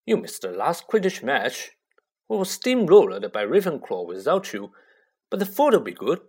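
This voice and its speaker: A reader takes on a chatty storybook schoolboy. The boy is breezy and proud, and his pitch bounces around as he talks. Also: big pitch swings, moderate pace, husky.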